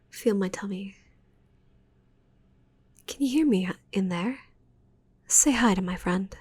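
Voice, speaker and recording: A woman's voice: medium pitch, gravelly, slightly rough. A woman playing a possessive, doting yandere witch in an ASMR roleplay, whispering breathily and intimately with sultry, gloating teasing. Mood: teasing, affectionate. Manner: slow, intimate, teasing delivery.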